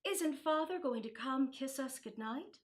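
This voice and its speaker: A woman's voice: high voice, lively intonation, a little slow, pitched above the performer's own voice. A woman voicing a practical, outspoken young girl in a storybook read-aloud. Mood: wondering.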